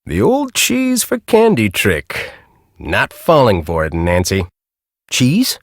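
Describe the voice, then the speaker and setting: An actor playing a grumpy, bossy middle-aged storm-chasing professor in a video game, with an American accent and a brusque, sarcastic, exasperated manner. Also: male voice, on the low side, huge pitch swings, takes it slowly, no room sound, clean studio sound, exaggerated voice. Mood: suspicious, sarcastic. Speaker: video game character, research team leader.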